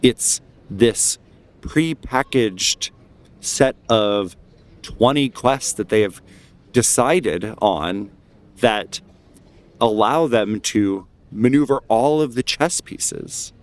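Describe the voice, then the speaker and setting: A man acts out an earnest adventurer addressing a crowd in a tabletop game. The voice sits on the low side and moves slowly. Each point is laid down with measured, persuasive conviction, like a speech meant to win people over. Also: lively intonation. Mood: fervent. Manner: deliberate, persuasive, measured oratory. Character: earnest adventurer rallying a crowd against preordained quests.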